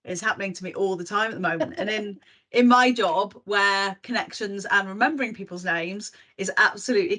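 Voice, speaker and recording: A woman's voice: mid-range voice, sharp tone. A woman leading a roundtable talk in a reflective way, often ending her points with tag questions. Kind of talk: casual panel discussion.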